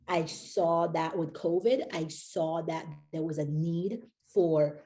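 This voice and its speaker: A woman's voice: sits low, fairly even intonation, slow pace, warm tone. A young woman from Haiti, a company founder, chatting as a podcast guest and rambling with many fillers and restarts. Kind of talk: casual interview chat.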